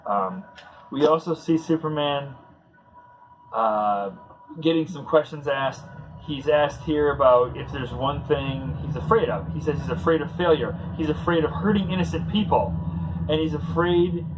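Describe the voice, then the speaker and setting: Casting: male read-along host, slightly high voice, loose halting conversational commentary, faint background noise. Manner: halting, conversational, searching for words. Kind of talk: casual comic book read-along commentary.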